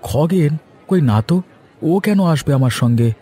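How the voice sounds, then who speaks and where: A man's voice: on the high side, animated, moderate pace, dark tone, slightly rough, a faint crowd. A man voicing a humble, trusting village fish seller in a fairy-tale cartoon, speaking respectfully and earnestly.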